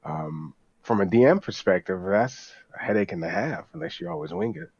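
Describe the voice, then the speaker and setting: A man's voice: fairly low pitch, very animated, normal speed, gravelly, darkish tone, breathy edge, dry recording. A man chatting casually as a guest on a podcast, in a dull lo-fi recording. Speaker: podcast guest, tabletop gamer. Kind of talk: casual chat. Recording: dull, lo-fi dry audio.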